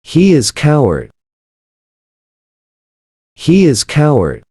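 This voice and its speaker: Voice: medium pitch, wildly swooping pitch, very slow, dark tone, slightly rough, no echo, studio quality. A voice modeling short phrases for learners, saying each one twice and carefully. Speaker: pronunciation model. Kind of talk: slow phrase drills.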